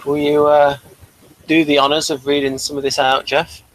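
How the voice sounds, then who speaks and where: A man's voice: a little high, level tone, normal speed, a bit bright, no room sound. A mild-mannered man hosting a casual, unscripted review chat, a little hesitant as he talks. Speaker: review show host. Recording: noisy room ambience.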